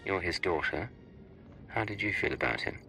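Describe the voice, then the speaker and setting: A man's voice: low-pitched, animated, moderate pace, creaky, bright, forceful tone, soft background music, heavily acted. A man playing a cool, probing secret agent in a video game, speaking in terse, controlled questions and statements. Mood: cool, formal.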